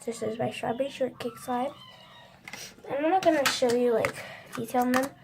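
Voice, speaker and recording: A high-pitched teenage girl talks casually to her phone, her voice stuffy and congested from a cold. She rambles, full of likes and false starts. A faint hiss sits under the recording. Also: normal speed, gravelly. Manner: rambling, many likes and restarts. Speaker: vlogger. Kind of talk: casual chat to camera.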